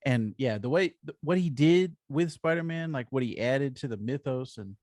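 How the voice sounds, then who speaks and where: A man's voice: mid-range voice, lively intonation, moderate pace, a little mellow, no echo, studio quality. A man co-hosting a podcast, chatting casually and agreeably as a fan. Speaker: comics podcast co-host.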